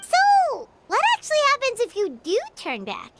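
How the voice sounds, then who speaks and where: A woman voicing a tiny floating fairy-like girl companion in a video game, chirpy and excitable, firing off eager questions. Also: very high-pitched, vocal fry, full character voice. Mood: curious. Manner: quick eager questions, bouncy and boastful. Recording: game audio with loud background noise.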